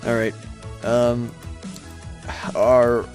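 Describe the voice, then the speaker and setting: A man's voice: medium pitch, monotone, extremely slow, darkish tone. A young man giving casual, hesitant, rambling gameplay commentary as a let's player, easily amused by what he plays. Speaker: video game let's player. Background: game music.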